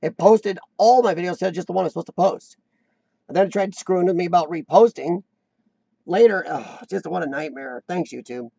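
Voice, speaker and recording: A man's voice: sits high, a little fast, vocal fry, dark tone, dry recording. A man hosting a casual live-stream chat, rambling and self-deprecating, often restarting his sentences. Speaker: host of a live chat show.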